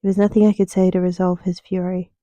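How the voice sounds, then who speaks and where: A young woman narrates a story in soft ASMR style, her tone very dark and mellow. Her pitch sits on the low side and moves little, with deliberate pauses between phrases. The sound is dry and close. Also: flat delivery, no room sound. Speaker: writer reading her own book.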